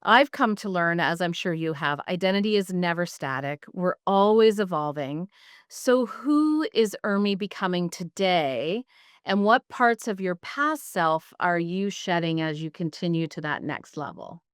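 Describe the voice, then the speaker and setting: Smooth low-voiced woman host. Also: expressive, measured pace, no echo, studio quality. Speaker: podcast host. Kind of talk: interview questions.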